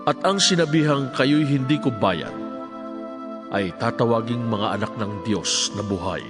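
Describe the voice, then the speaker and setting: A man's voice: mid-range voice, animated, a little slow, loud music behind the voice, close to an everyday voice. A man playing a solemn, declamatory voice of prophets and God in a dramatized Bible reading.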